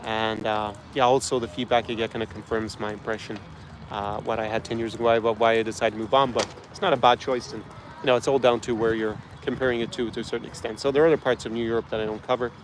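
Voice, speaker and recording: A man's voice: mid-range voice, level tone, fast, a little hiss or hum. Irish man talking outdoors, frank and rambling. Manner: rambling, frank, with frequent ums and restarts. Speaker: travel and lifestyle guide host. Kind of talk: casual talk to camera. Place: outdoors in a city street.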